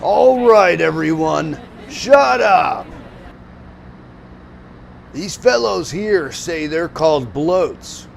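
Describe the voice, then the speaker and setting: A man's voice: on the high side, lively intonation, extremely slow, gravelly, long pauses, some chatter behind, a little hiss or hum, exaggerated voice. A man voicing a brusque, authoritative creature mayor with an official, commanding manner in an amateur cartoon audio drama.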